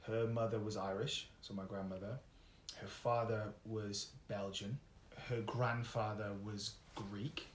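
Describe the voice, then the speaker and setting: A man's voice: on the low side, little pitch movement, unhurried, reverberant, slight background noise. A young man, chatting easily as an interview guest, laughing often and trailing off with fillers. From Wales. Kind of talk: casual interview chat.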